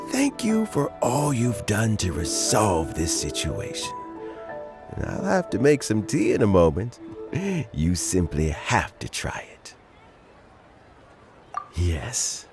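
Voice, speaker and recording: A man playing a courteous, grateful older gentleman in a video game, with a polite, unhurried manner. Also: on the low side, exaggerated voice. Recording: music behind the voice. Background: background music.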